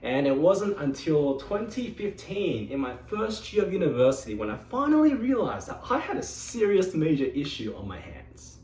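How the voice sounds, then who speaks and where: Man, lively delivery, speaking in an echoey meeting room a distance from the mic. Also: on the high side. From Australia. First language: Mandarin Chinese. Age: 20s. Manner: expressive storytelling with dramatic pauses. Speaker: club member giving a speech. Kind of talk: prepared speech to a club.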